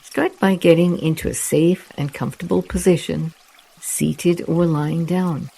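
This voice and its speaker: A meditation guide narrating a guided relaxation walk in a soft, soothing, unhurried way with long pauses. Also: medium pitch, steady tone, gravelly, husky, low-quality recording.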